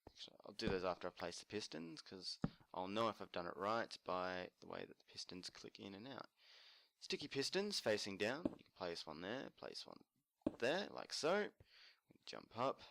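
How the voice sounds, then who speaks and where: A man casually narrating a building tutorial while playing, murmuring to himself and correcting himself as he goes, his voice a little croaky. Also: on the low side, big pitch swings, moderate pace, fairly bright tone, no room sound. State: slightly croaky from screaming at a concert. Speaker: let's play host.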